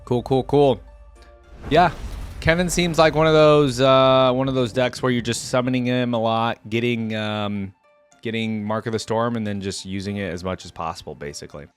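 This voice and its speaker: A young man giving casual, expressive reaction commentary as a video host, easily amused. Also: mid-range voice, moderate pace, clear, resonant tone. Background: game audio and music.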